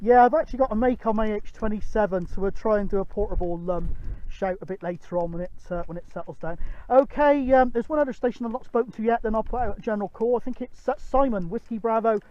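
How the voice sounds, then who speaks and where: A man's voice: sits high, fast talker, darkish tone. A man chatting casually as a CB radio operator, chummy, repeating himself and using radio jargon and call signs. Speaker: CB radio operator, channel host. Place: outdoors on a windy mountain peak. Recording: dull, windy outdoor recording with noise. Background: wind.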